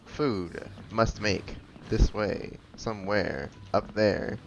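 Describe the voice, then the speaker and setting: A man's voice: fairly low pitch, slow pace, slight background noise. A man doing casual game playthrough commentary, muttering dryly to himself, slightly dull sound with game music behind. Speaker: video game player and commentator.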